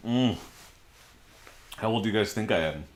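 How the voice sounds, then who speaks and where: A man's voice: lowish voice, expressive, normal speed. Chatty man in his forties hosting a stream. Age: 40s. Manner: chatty, reads and answers viewer comments aloud. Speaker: livestream host. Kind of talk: casual livestream chat. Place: home garage. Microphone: desk microphone.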